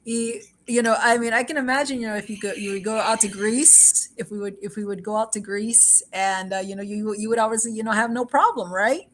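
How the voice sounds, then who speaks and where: A woman's voice: a little high, fairly quick, few pauses, rough recording. Rambling woman chatting on a livestream. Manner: rambling, repeats herself, switches between English and Spanish; laughs often. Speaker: livestream host. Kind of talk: casual chat.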